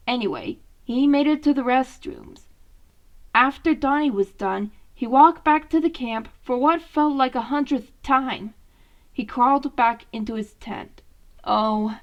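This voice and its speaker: A woman's voice: a little high, muffled recording. A woman narrating a scripted story as a fan fiction reader.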